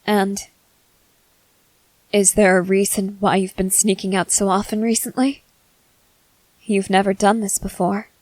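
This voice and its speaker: Girl voice acting a guarded young soldier woman, slow n hesitant, blunt but soft underneath, asmr roleplay, very dry sound. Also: medium pitch, level tone, dry recording, natural voice, rough recording. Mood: stern, suspicious. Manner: halting, hesitant, earnest delivery.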